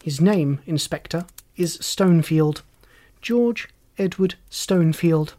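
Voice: medium pitch, slow pace, mellow, close to an everyday voice. A narrator voicing a composed, authoritative well-to-do woman in an audiobook, speaking formally and precisely. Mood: cool, formal.

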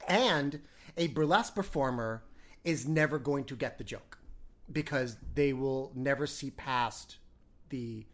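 A woman with a notably low voice hosts a loose podcast chat. She is enthusiastic and swears casually as she talks. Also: unhurried.

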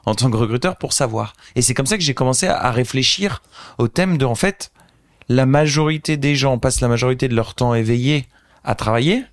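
A French man in his thirties, a social entrepreneur telling an animated anecdote as an interview guest. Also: medium pitch, brisk. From France. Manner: animated anecdotal storyteller, addresses host directly. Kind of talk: casual storytelling interview.

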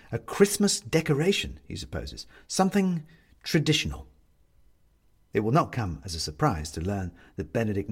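Male narrator for literary fiction. Slightly raspy tone, animated pitch movement, dry wit, deliberate phrasing. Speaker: narrator reading a story. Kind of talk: scripted literary storytelling narration.

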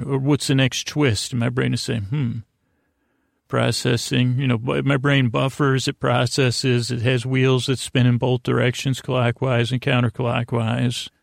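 Man, creaky fry, dry close sound, stammering, meandering bedtime monologue, gently silly. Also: mid-range voice, dry recording. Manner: halting, stammering, repeats words and trails off into tangents; self-deprecating, gently silly. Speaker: podcast host. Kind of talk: rambling bedtime podcast monologue.

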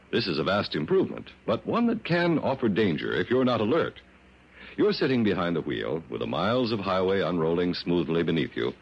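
A man with an American accent reading a scripted radio public service announcement in a deliberate, dramatic storytelling delivery. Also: fairly low pitch, breathy edge. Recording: muffled vintage radio recording.

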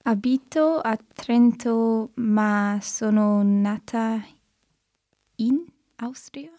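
A woman learner answers translation prompts slowly and hesitantly. Her voice is slightly high and mellow, and the recording is dry. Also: no echo. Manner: slow, tentative answers. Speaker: language learner.

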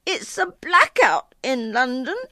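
Storybook child role: timid London evacuee girl of ten, high, small, sobbing voice played by a woman. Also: slow, a bit bright, no room sound, clean studio sound, exaggerated voice. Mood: indignant. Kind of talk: storybook reading.

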